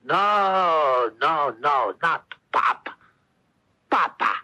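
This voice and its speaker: A man playing a gentle, excitable old woodcarver and doting papa, theatrical and exclamatory, in a 1970s radio drama. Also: on the high side, drawn-out words, heavily acted, poor audio. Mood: gently correcting.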